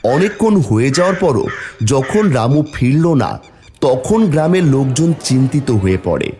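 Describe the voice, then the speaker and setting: A man narrating a scripted fairy tale as a storyteller for an animated video. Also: medium pitch.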